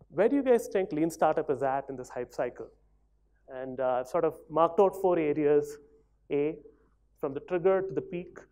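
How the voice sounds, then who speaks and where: A man's voice: fairly high pitch, mellow. A man in his thirties giving a conversational talk at a conference lectern, hedging often with fillers like "sort of". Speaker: conference speaker. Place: large conference hall at a lectern.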